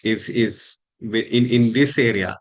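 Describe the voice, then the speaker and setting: A man from Karnataka with an Indian English accent, a coach talking casually to camera, measured and halting with many fillers. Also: lowish voice, steady tone.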